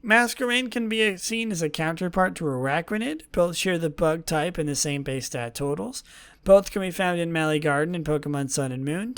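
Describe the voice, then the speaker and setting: A young man hosting a podcast, his voice worn and hoarse from talking all day, rambling casually and correcting himself often with dry sarcasm. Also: on the high side, fairly bright tone. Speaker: podcast host. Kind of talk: casual solo podcast talk.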